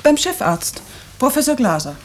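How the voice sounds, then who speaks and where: An actress playing a troubled, evasive wife in a 1950s radio drama, speaking softly and hesitantly with a husky voice. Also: female voice, high-pitched, big pitch swings, normal speed. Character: troubled, evasive wife hiding her illness and fear.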